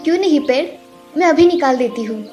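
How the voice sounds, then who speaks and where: Woman playing a high-voiced meek young girl. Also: animated, fairly quick, faint music behind. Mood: kind, earnest. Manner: gentle, earnest, polite. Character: meek, kind young girl in a fairy tale. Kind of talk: acted children's story dialogue.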